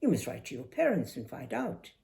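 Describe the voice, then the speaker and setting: Older woman playing tomboy schoolgirl, forthright, brisk, cheerful, emphatic, animated intonation, storybook reading. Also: low-pitched, creaky, exaggerated voice. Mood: incredulous. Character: confident, forthright tomboy Indian schoolgirl, about thirteen.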